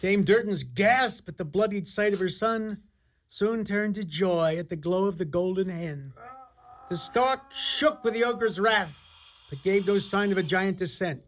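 A man's voice: high-pitched, measured pace, slight background noise. A man narrating a fairy-tale radio play in an ornate, dramatic, theatrical style. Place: radio studio.

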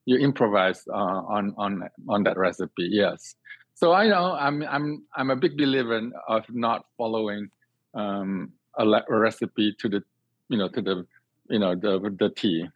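A man from Singapore with a fairly low voice talks as an interview guest in a dry, slightly dull recording. He explains at length and earnestly, circling back over words and rephrasing as he searches for the right one. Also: a little slow, no room sound. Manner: hesitant, repeats and reworks phrases while thinking. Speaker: guest, cook and cooking teacher. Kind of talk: conversational interview answers. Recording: dry, slightly dull band-limited sound.

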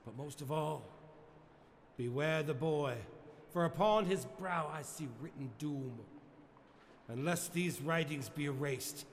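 Man voicing a solemn doomed ghost, unhurried, slightly high voice. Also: slight background noise. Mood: grave. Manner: slow, grave, weighty. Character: Ghost of Christmas Present, dying grave spirit.

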